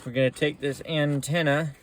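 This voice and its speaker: A man giving a relaxed, folksy how-to walkthrough from inside a truck. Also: a little high, normal speed, background noise. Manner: relaxed, folksy explaining with casual slang. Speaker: mechanic demonstrating CB radio setup. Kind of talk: casual how-to narration.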